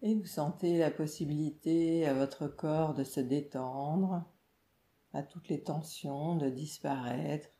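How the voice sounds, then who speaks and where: A woman meditation teacher leading a guided relaxation in a calm, soothing, instructional way, with short phrases and pauses. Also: deep voice. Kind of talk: guided yoga nidra relaxation narration. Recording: roomy, echoing voice recording.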